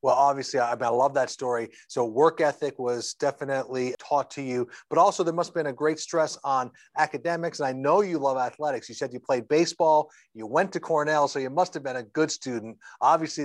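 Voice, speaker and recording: A man's voice: medium pitch, fairly quick, hardly any pauses. A man hosting a podcast, reading a scripted intro and then asking friendly questions. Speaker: podcast host. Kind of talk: podcast interview.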